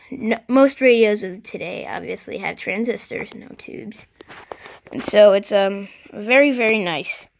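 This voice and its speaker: A vlogger casually showing off a collection, speaking unscripted and haltingly, often stopping to clear the throat. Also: mid-range voice, fairly bright tone. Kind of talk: casual unscripted show-and-tell.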